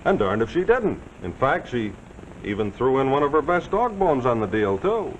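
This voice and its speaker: Casting: American male commercial pitchman, folksy and jovial, very lively intonation at medium pitch, 1940s broadcast sound, dull and slightly noisy. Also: moderate pace. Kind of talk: folksy late-1940s television sponsor announcing.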